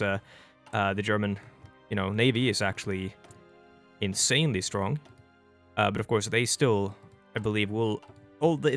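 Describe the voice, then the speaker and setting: A young man from Norway with a Norwegian accent, whose first language is Norwegian, giving casual, chatty gameplay commentary as a let's play host and often stopping to restart his sentences. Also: fairly low pitch. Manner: chatty and rambling, with frequent restarts. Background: faint background music.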